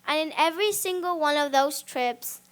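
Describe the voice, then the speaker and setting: An eleven-year-old girl from Spain giving a memorized talk on stage, with dramatic pauses between phrases. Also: high voice. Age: child, 11. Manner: slow, deliberate delivery with dramatic pauses. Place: stage before a live audience.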